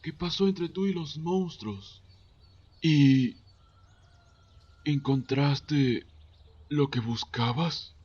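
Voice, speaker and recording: An actor playing a patient, concerned, fatherly man who talks in a measured, formal way in a Spanish fan dub. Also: on the high side, a little mellow, slightly rough, heavily acted. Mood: concerned, pleading. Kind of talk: Spanish fandub voice acting. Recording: dull lo-fi audio, music behind.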